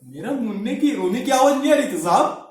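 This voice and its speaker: An actor playing a grief-stricken village father in a dramatic monologue, pleading and halting, often repeating himself. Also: very high-pitched, animated, recorded from a distance. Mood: anguished, wailing. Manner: halting, repetitive, emotional delivery with pleading address. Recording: very echoey, reverberant room.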